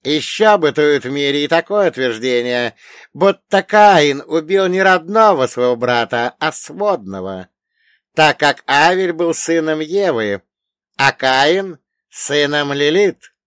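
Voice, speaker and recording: Man voicing high-pitched, mysterious ancient undead grandfather. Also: lively intonation, slow pace, fairly bright tone, smooth, no echo, clean studio sound. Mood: grave, emphatic. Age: older. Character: wise centuries-old dead grandfather, mysterious storyteller. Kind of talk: audiobook character voice.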